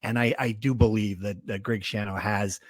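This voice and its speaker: A man's voice: on the low side, steady tone, moderate pace, dry recording. A man hosting a sports podcast, chatting and interviewing in a casual way.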